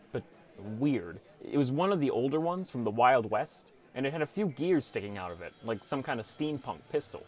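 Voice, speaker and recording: A man reading scripted first-person story narration as an audiobook narrator. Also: medium pitch.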